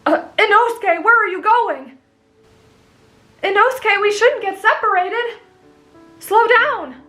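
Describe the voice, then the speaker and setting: A woman acts out a kind-hearted teenage anime boy with a high voice. He is polite and earnest, explaining things gently and patiently, with faint music behind him. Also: slow pace, full character voice. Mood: concerned. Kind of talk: anime fan roleplay audio drama.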